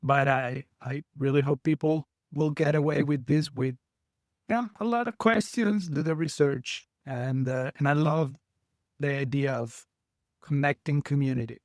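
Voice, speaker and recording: A man hosting a podcast, enthusiastic and warm in his praise as he wraps up the conversation. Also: fairly high pitch, a little slow, no echo, studio quality. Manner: enthusiastic, wrapping up with thanks. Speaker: podcast host.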